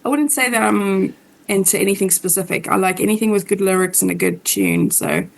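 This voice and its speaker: South African woman with a gravelly edge. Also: medium pitch, sing-song, fairly quick. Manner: chatty, laughs easily, many ums. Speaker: co-host. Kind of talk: casual podcast chat.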